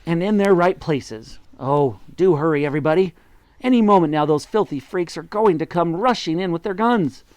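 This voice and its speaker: A reader voicing an excited, bossy father monkey who shouts urgent commands in a high, loud voice. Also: normal speed, no echo, lightly acted.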